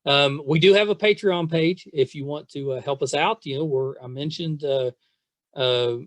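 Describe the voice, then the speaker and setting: A man hosting a podcast in casual chat, gushing like an enthusiastic fan in halting, restarting sentences. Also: fairly high pitch, no room sound. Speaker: podcast host.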